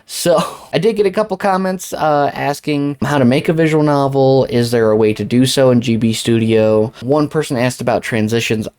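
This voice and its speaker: A man's voice: mid-range voice, moderate pace, few pauses, a little mellow. Casual man hosting a tutorial. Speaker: tutorial host. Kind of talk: casual screen-recorded software tutorial.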